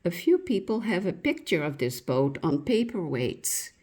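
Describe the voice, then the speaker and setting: A woman with a slightly low voice reads a reminiscence aloud as narration. She takes it very slowly and deliberately, with lots of rise and fall in her pitch. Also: sing-song, a little mellow, breathy edge. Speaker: reader of a written local history account.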